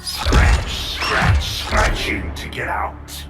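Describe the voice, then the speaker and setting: An actor voicing a taunting, cruel male killer clown with a mocking, sing-song theatrical delivery in a video game. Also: drawn-out words. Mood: gleeful. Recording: distant voice over loud music.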